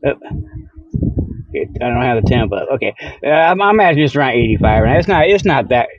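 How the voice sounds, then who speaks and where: A man's voice: mid-range voice, sing-song, brisk, no room sound, highs cut off. A man hosting a podcast in a rambling, tongue-in-cheek monologue, with folksy, colloquial phrasing full of "okay" and "you know". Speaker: podcast host.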